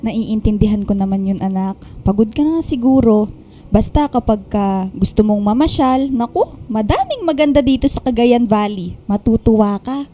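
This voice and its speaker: A woman's voice: a little high, expressive, normal speed, warm tone, slight background noise, natural voice. An actress playing a caring middle-aged mother who fusses over her daughter in a Filipino radio drama.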